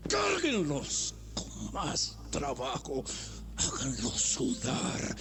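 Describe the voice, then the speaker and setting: A man's voice: medium pitch, huge pitch swings, a bit bright, exaggerated voice. An actor playing an arrogant, mocking, tyrannical Egyptian pharaoh in a theatrical audio Bible drama, drawing out his words with sudden outbursts. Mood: harsh commanding scorn. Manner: theatrical, sneering, drawn-out delivery with sudden outbursts.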